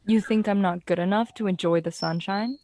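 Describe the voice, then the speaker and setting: A woman's voice: medium pitch, brisk, dark tone, natural voice. A young woman playing a jokey, self-assured outsider leaf with a wry manner in a student radio play. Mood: wry, offended. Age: young adult. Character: jokey, proud outsider female autumn leaf. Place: small improvised home recording space.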